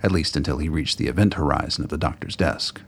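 A man's voice: extremely low pitch, fast talker, creaky, slight background noise. A man reading scripted narration for an audio drama.

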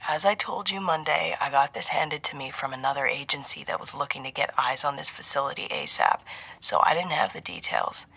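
A woman's voice: sits low, brisk, vocal fry, bright, forceful tone, slightly rough, no room sound, exaggerated voice, rough recording. A woman narrator plays a male intelligence handler in an audio spy novel. He is mild, dry and bantering, with a close, roomless sound. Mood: serious, measured. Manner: bantering, mild, turning businesslike.

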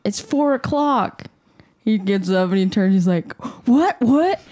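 A woman telling an anecdote in casual chat, animated and laughing. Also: mid-range voice, unhurried.

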